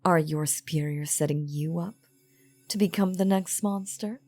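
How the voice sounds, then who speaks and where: A woman's voice: fairly low pitch, normal speed, a little mellow, soft background music. A woman playing an elegant ancient vampire in a scripted audio drama, teasing and coaxing with theatrical, old-fashioned formality. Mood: measured, probing. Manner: theatrical, archaic, velvety formal phrasing.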